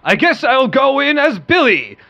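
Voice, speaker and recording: A man's voice: very high voice, sing-song, measured pace, vocal fry, sharp tone, lo-fi sound, a little hiss or hum, full character voice. A man playing a confident, heroic superhero in an old-fashioned radio play reading. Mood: confident, busy.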